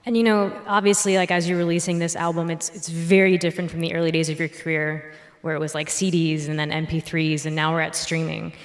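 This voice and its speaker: A woman's voice: sits low, flat delivery, fast talker. A woman interviewer asking questions in a casual, chatty style. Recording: long reverb with music behind. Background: music.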